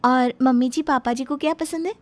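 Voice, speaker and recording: Woman as an excited high-voiced young bride. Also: brisk, close to an everyday voice. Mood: excited, cheerful. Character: excited young newlywed wife, cheerful, chatty. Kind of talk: animated family story dialogue.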